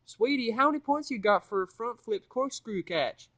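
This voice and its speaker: Storybook filly pony, acted by a man: young, girlish, eager and pleading, pitched up and strained. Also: lively intonation, moderate pace, a bit bright, no echo. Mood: curious.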